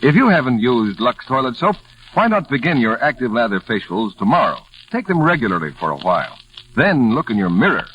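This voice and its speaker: Old timey radio ad announcer man, super animated, muffled recording. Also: mid-range voice, brisk. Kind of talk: 1940s radio advertisement pitch. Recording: muffled vintage radio recording, faint noise.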